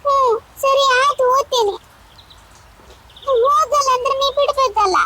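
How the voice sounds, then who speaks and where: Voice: extremely high pitch, a little slow, bright, forceful tone, a little hiss or hum, exaggerated voice. A performer voicing a sulky, stubborn little cartoon schoolboy. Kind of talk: cartoon dialogue in colloquial village speech.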